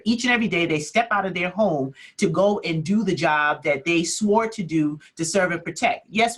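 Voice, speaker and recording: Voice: medium pitch, a bit bright. Indignant co-host making points. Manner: indignant, ticks off numbered points. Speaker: talk show co-host. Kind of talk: talk show commentary.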